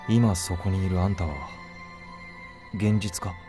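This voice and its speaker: Man acting a deep, cynical anime hero. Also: steady tone, normal speed, natural voice. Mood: skeptical. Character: bored, cynical male visual novel protagonist. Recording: game audio with music underneath.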